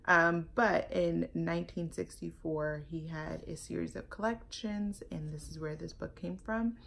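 A woman in her thirties reading a children's picture book aloud, deliberate and expressive, recorded at arm's length at home. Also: fairly low pitch, vocal fry. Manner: animated, deliberate, emphatic storytelling. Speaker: storytime host. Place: home living room and kitchen, indoors.

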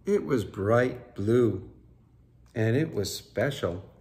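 A man in his sixties reading a picture book aloud to children in an expressive, deliberate way. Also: lowish voice. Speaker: storyteller for children. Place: living room.

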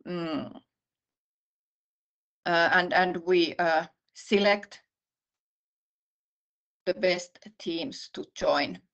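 Low-voiced Finnish woman presenting slides. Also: fairly bright tone. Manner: measured, many "uh" pauses and restarts. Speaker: university vice-dean presenting. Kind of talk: online conference presentation. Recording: slightly muffled video call audio.

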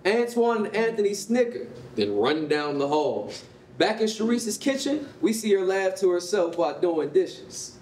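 A man's voice: high-pitched, very animated, a little slow, darkish tone. An American man reading scripted stage directions as narrator at a staged reading. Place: concrete-walled rehearsal hall. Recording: roomy, distant mic, faint noise.

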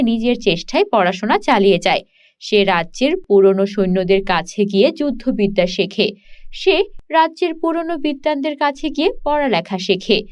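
A woman narrating scripted fairy tales for children in an expressive storytelling manner. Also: high voice, muffled recording.

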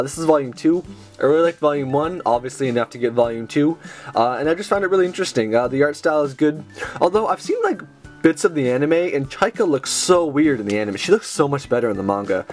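A young man who is sick and has a sore throat, chatting casually with lots of fillers as he reviews his book haul indoors. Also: on the high side. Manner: chatty, enthusiastic, many fillers. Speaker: manga haul video host. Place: indoors at a table with books.

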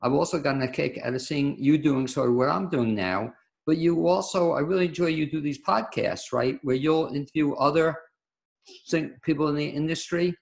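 Gravelly older male interviewer. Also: on the high side, moderate pace, poor audio. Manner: friendly, meandering questions. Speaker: radio show host. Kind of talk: casual interview over video call. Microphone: webcam on video call.

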